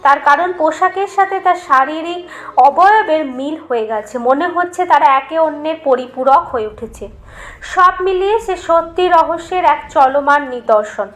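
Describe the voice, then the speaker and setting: A woman narrates a mysterious tale in a notably high voice. Her delivery is scripted and dramatic, with measured, expressive phrasing suited to an audio storybook.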